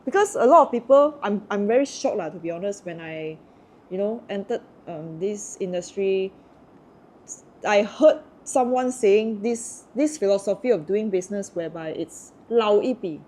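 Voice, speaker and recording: A woman's voice: medium pitch, lively intonation, moderate pace, a little mellow, a little hiss or hum. A woman from Malaysia with a Malaysian English accent, chatting casually and giving strong, indignant opinions. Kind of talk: casual chat.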